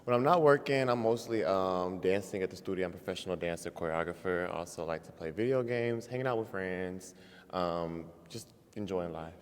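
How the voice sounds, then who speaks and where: A man's voice: deep voice, fairly quick, clean tone. A man in his late twenties, a dating show contestant, friendly and thoughtful with hesitant ums. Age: 20s. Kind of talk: casual chat. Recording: echoey hall.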